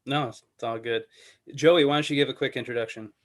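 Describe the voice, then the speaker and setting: A man's voice: medium pitch, steady tone, fast. A man hosting an online committee meeting, calling on people in a loose, rambling way. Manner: loose, filler-heavy, with restarts. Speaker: meeting host. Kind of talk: casual meeting moderation.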